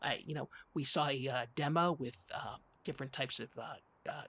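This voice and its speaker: A performer playing an emotional, loyal and oddly intense podcast co-host, halting and dramatic in improvised comedy dialogue. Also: medium pitch, fairly bright tone, breathy edge. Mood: awkward, intense.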